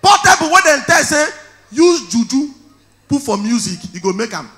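Loud high-pitched Nigerian stand-up comic. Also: male voice, big pitch swings, normal speed. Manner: loud, punchy storytelling with shouted punchlines. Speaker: stand-up comedian. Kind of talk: stand-up comedy in Pidgin. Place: large indoor event hall on stage. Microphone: handheld mic.

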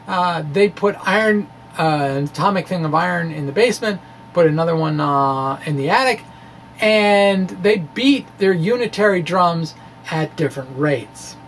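A middle-aged man giving an explanatory monologue in a halting, deliberate way, stretching some words as he goes. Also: sits high, lively intonation, fairly bright tone. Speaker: physics explainer, video blogger. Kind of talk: explanatory monologue, part read, part ad-libbed. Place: indoor room with bookshelves. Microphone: microphone on stand in front of him. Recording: clear background room noise.

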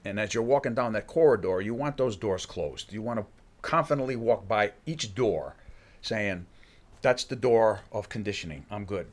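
An older man with a low-pitched voice talks about coaching fighters in a halting, searching way. He restarts his sentences again and again before he lands on a point. He is recorded close on a studio microphone. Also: expressive. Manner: halting, many restarts, acts out fighters' thoughts. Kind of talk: casual interview talk. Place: studio room with bookshelves. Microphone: studio mic on a boom arm.

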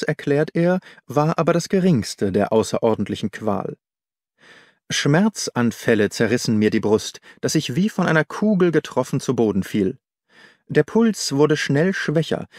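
A man reading a literary audiobook as narrator, measured and deliberate. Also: mid-range voice, a little mellow, no echo, studio quality.

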